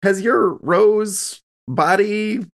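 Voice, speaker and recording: A man's voice: high-pitched, drawn-out words, gravelly, full character voice. A man playing an eager, folksy adventurer in a tabletop game, polite but gung-ho. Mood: eager, determined.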